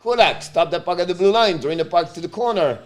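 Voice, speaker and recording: A man with a Quebec French accent, French his first language, calls the game and chats with viewers on a casual live stream. His voice sits a bit high for a man. He breaks into loud excited outbursts. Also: brisk, faint music behind. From Montreal, Canada. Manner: talks straight to viewers. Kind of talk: casual live play-by-play and chat.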